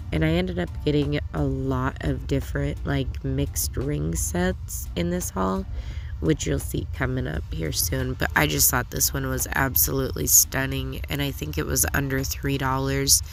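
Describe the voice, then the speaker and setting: A woman's voice: a bass voice, vocal fry. A young woman hosting a nail supply haul, chatty and enthusiastic, a little stuffy from a cold. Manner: chatty, enthusiastic, filler-heavy. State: stuffy, getting over a cold. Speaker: nail channel host. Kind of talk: casual haul review to camera.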